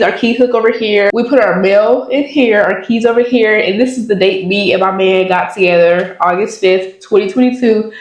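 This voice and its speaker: A young woman in her twenties with a congested voice, chatting casually to viewers while giving an apartment tour. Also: fairly high pitch. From Dallas, Texas. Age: 20s. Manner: chatty, addresses viewers as y'all. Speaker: vlogger. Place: apartment indoors. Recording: echoey apartment rooms.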